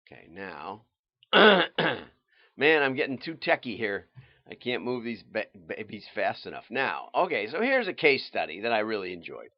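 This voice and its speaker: Man, deepish voice, chatty folksy host, rambling asides, dry and slightly muffled recording. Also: very animated, a little slow, no echo. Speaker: livestream host. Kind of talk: casual chatty commentary on adverts. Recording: slightly dull audio.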